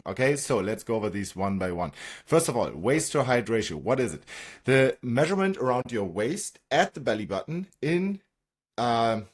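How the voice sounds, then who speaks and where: This is a man with a slightly low voice and a German accent, his native tongue being German. He explains things informally, like a coach walking a client through slides. He keeps checking in with short tags like "okay?" and "right?". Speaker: fitness coach and presenter.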